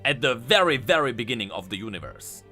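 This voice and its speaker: A man's voice: medium pitch, sing-song, fast talker, sharp tone, faint music behind. A man hosting a scripted explainer, narrating lore in an emphatic, storytelling way. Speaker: video host and lore commentator.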